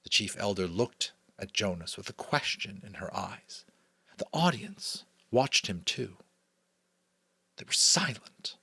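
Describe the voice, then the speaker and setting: A middle-aged American man reading a novel aloud theatrically, with hushed asides and casual commentary. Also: on the low side, sing-song, takes it slowly, vocal fry, husky, no room sound. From United States. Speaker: audiobook reader and host.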